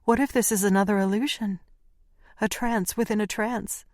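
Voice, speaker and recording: A man's voice: high voice, steady tone, normal speed, no room sound, clean studio sound, pitched above the performer's own voice, lightly acted. A man voicing a frightened, confused young woman in an audiobook, speaking anxiously in a raised voice. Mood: fearful. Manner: anxious, questioning.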